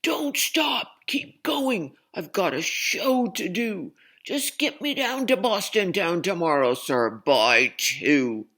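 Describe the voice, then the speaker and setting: A woman's voice: on the low side, very animated, slow, few pauses, fairly bright tone, husky, voice pushed up, full character voice. A woman voicing an angry, demanding, selfish male circus boss in a storybook, barking out orders. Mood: angry, bellowing.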